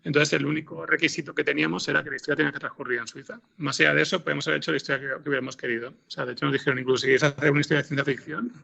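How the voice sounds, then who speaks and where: Gravelly-voiced Spanish man, bright and hesitant. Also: on the high side, little pitch movement, rapid-fire, hardly any pauses. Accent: Spanish from Spain. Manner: hesitant, rambling, with frequent repeated words and tag questions. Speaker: interview guest, podcast scriptwriter. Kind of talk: unscripted interview answers.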